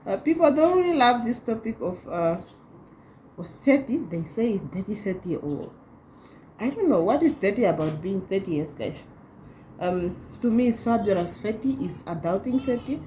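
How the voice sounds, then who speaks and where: A woman's voice: medium pitch, sing-song, warm tone, slight background noise, rough recording. A thirty-year-old woman vlogging at home, talking casually with many restarts. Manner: halting, with many restarts and laughs. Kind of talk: casual talk to camera.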